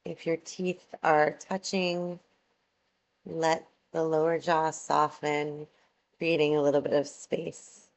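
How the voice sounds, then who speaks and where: A woman guiding a yoga relaxation meditation, giving soothing, measured instructions with long pauses between phrases. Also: low-pitched, little pitch movement, gravelly, a little mellow, rough recording.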